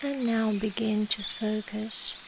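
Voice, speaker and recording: Slow, soothing woman guiding meditation over rain. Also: mid-range voice. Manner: soft, soothing, measured with long pauses. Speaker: meditation guide. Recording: steady rain noise behind the voice. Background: rain and nature ambience.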